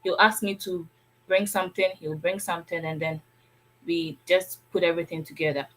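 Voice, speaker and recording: A woman's voice: on the low side, fairly even intonation, moderate pace, a bit bright, poor audio. A young woman from Ghana with a Ghanaian accent, recounting her experience as a student guest in a casual, emphatic way.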